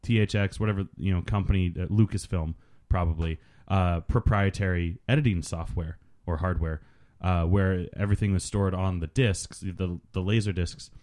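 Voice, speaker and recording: Low-voiced man in geeky film chat. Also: steady tone, normal speed, hardly any pauses, darkish tone. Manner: rambling, geeky explaining, profane. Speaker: film commentary podcaster. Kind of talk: casual film commentary chat.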